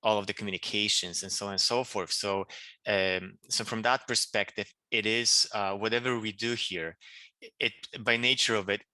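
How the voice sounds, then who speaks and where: A man from Poland with a Polish accent, answering interview questions in a halting way with many restarts. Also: on the low side, fairly even intonation, pushed and bright, no room sound. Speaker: political candidate.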